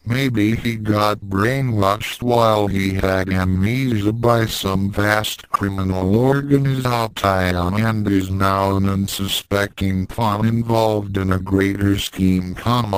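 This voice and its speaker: Storybook brother read by a man: young, sleepy grumbler, very low, smooth voice. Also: steady tone, slow pace, few pauses, dry recording, low-quality recording. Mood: speculating. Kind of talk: storybook dialogue read aloud.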